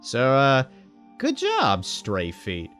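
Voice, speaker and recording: A man's voice: medium pitch, extremely slow, faint music behind. A man commentating a game playthrough, reading its text aloud and joking, with casual swearing. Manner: jokey, animated, swears casually. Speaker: game streamer.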